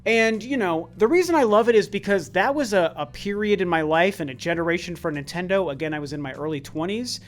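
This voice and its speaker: A man's voice: high voice, fairly quick, few pauses. A man around forty hosting a casual question-and-answer chat, talking earnestly with a tired, worn voice. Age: about 40. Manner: earnest, conversational, with frequent restarts. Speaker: YouTube channel host.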